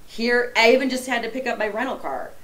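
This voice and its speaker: A woman's voice: fairly high pitch, fairly bright tone. Woman, Chicago accent, chatty radio co-host. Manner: chatty, quick to agree. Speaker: radio show co-host, former TV news anchor. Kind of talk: casual radio talk show chat.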